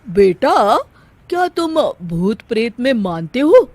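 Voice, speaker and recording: A woman's voice: on the high side, very animated, slow, darkish tone, no room sound, voice pushed up, heavily acted. A woman voicing a scheming, grumbling middle-aged mother-in-law in a story, with sarcastic, muttering asides. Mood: falsely sweet.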